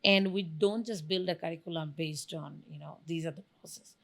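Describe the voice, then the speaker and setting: A woman's voice: low-pitched, a little fast, gravelly. A woman from Bangladesh, answering interview questions emphatically and enthusiastically. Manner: emphatic and enthusiastic, lists examples. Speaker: guest, venture studio founder.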